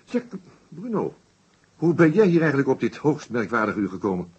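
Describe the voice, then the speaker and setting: An actor playing an unflappable, wry police inspector in a radio play, husky and nonchalant. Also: male voice, mid-range voice, very animated, normal speed. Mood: wry, relaxed. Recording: slightly dull radio drama recording.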